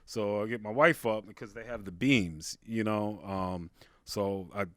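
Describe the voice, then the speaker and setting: A man with a big voice, a podcast guest, telling a personal story in a casual, halting, conversational way. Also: on the low side, no room sound, clean studio sound. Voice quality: big, carrying voice. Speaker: podcast guest, stand-up comedian.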